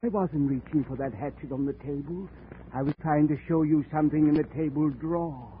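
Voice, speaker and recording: A man's voice: fairly high pitch, fairly quick, slight background noise, lightly acted. A man playing a sneering, scheming murder suspect. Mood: bitter. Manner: sneering, defiant, panics when cornered. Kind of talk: 1940s radio acting. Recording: tinny old radio broadcast recording.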